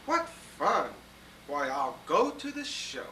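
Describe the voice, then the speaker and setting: A woman's voice: deep voice, very animated, slow, bright, forceful tone, a little hiss or hum, exaggerated voice. A man voicing a lazy, selfish female bird in a read-aloud storybook, sputtering and demanding. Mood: delighted. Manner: squealing, sputtering.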